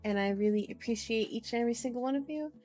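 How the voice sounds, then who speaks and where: A woman's voice: a little high, fast talker, a little mellow. Chatty woman streamer over music. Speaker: livestream host reading tarot and horoscopes. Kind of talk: casual livestream chat mixed with reading aloud.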